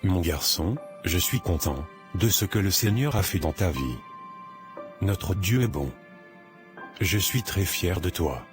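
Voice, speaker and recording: A deep-voiced, devout father in an animated story, acted by a man. He speaks with affectionate warmth. Clear music plays behind him. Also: takes it slowly. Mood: proud. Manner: warm, affectionate. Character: proud older father, warm and devout.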